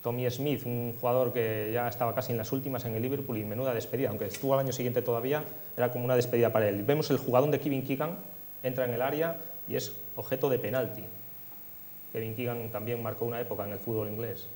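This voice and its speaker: A man's voice: medium pitch, fairly even intonation, fast talker, a little mellow. A man with an accent from Spain presents a football retrospective as host, talking conversationally through results and match action. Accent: Spanish, from Spain. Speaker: host of a football history show. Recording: noisy recording with electrical hum.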